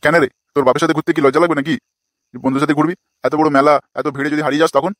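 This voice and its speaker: A man's voice: on the high side, steady tone, racing, fairly bright tone, dry recording, natural voice. A man voicing an exasperated, foul-mouthed older village father in a comic cartoon, scolding crudely.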